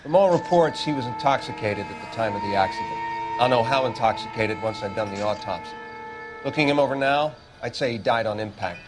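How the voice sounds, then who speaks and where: An actor playing a matter-of-fact coroner in a crime drama game, clinical and unruffled. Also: male voice, mid-range voice, expressive, fairly quick, natural voice. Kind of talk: scripted crime drama acting. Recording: music behind the voice.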